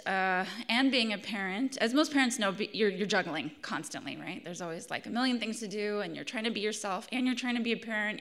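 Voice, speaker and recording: A woman's voice: medium pitch, bright, forceful tone. A woman in her thirties with a scratchy voice, reading her own essay at a live event. She speaks earnestly, with fillers and restarts. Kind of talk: live reading with spoken introduction. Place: arts center event hall.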